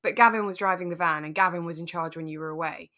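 A woman co-hosting a relaxed discussion podcast, talking in a thoughtful, tentative, explanatory way. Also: fairly low pitch, fast. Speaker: podcast co-host. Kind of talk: relaxed radio drama discussion chat.

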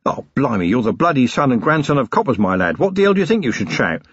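A man voicing a weary, sardonic older police commander with a dry, gruff, commanding manner in an audiobook story. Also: medium pitch, very animated, fast, no echo, muffled recording. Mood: gruff, impatient. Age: middle-aged.

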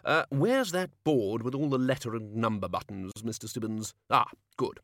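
A man voicing a loud, hearty, blustering older male archchancellor wizard in a storybook reading. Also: medium pitch, moderate pace, no room sound, clean studio sound, natural voice.

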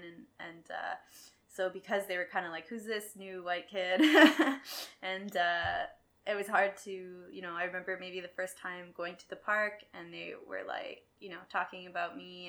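Young woman guest, low gravelly voice, candid chatty storytelling, laughs a lot. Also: fairly bright tone. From Quebec, Canada. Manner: candid, laughs often, swears casually, many fillers. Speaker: podcast guest, hairstylist. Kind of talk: casual conversational storytelling.

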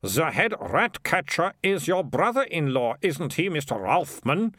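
Brief: firm small-town mayor, put on by a male narrator; cutting and lively. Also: on the high side, unhurried, no room sound, clean studio sound, voice pushed up, heavily acted. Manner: flustered, then firm and cutting. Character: bewildered middle-aged male town mayor.

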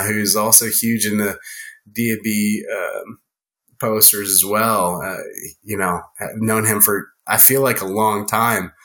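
A man's voice: lowish voice, sharp tone. A man telling friendly stories as a podcast guest in casual chat, rambling with many restarts and fillers.

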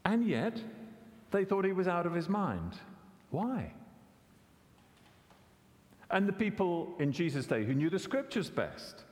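A man's voice: high voice, big pitch swings, slow pace, long pauses, darkish tone, in a large hall. A man preaching a sermon, conversational and questioning, often repeating words as he corrects himself. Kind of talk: expository sermon.

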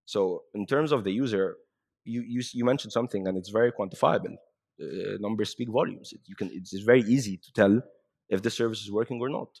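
A man from Egypt speaking conversationally as a panelist, halting and repeating phrases as he makes his points. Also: on the low side, a little mellow. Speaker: panelist, app company representative.

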